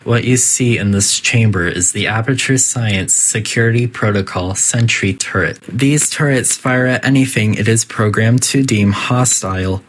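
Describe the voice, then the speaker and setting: A synthetic voice playing a politely detached, quietly menacing artificial intelligence that makes formal, deadpan announcements in a video game test facility. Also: medium pitch, normal speed, hardly any pauses, natural voice. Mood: clinically polite. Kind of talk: scripted game announcer narration.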